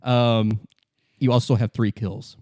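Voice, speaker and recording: A man's voice: mid-range voice, little pitch movement, darkish tone, no echo. Casual man narrating a tabletop game, dry sound. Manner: halting, restarts sentences often. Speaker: game master.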